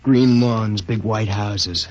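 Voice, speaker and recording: A man's voice: fairly low pitch, slow, a little mellow. An actor playing a folksy, talkative crewman in a 1950s radio drama, with an informal, chatty delivery. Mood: folksy, wistful. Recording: dull, noisy 1950s radio recording.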